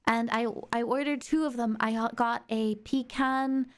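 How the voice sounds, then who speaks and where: A young woman streamer with a sore throat, chatting excitably and rambling with lots of fillers. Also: a little high, moderate pace. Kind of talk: casual stream chat.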